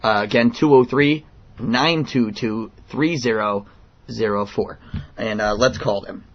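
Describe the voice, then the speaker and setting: A man hosting a radio show, giving opinions in a halting, blunt, indignant monologue. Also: fairly low pitch, highs cut off.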